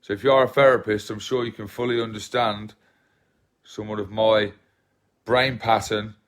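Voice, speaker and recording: Man, 30s, from England, soft relaxed voice, rambling livestream chat, repeats himself, phone mic at home. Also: on the low side, moderate pace. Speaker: livestream host. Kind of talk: casual livestream chat reading comments. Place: small living room at home, indoors. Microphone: phone held at arm's length.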